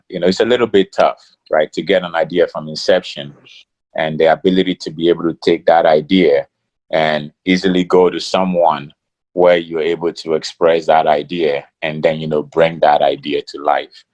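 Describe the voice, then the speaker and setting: A middle-aged man from Ghana, a guest in an interview, talking in a relaxed way with many fillers. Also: low-pitched, highs cut off. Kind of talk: casual interview talk.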